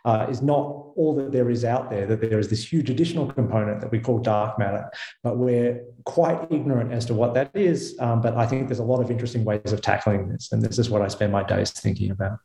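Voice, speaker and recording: A man with an Australian accent talks thoughtfully, pausing and restarting as he works out what to say. His voice is mellow and warm. The room adds a clear echo around it. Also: medium pitch. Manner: thoughtful, self-correcting, many fillers. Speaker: guest physicist. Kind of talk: radio interview answers.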